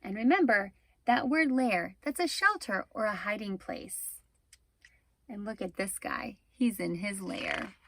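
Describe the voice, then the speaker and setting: A woman's voice: medium pitch, expressive, measured pace, a bit bright. A woman reading a picture book aloud to young children, expressively, with instructive asides to the listeners. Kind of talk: animated children's read-aloud with explanatory asides.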